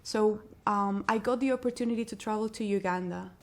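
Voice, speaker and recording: A woman's voice: medium pitch, a little fast, darkish tone. A woman from Colombia, answering interview questions earnestly as a social entrepreneur.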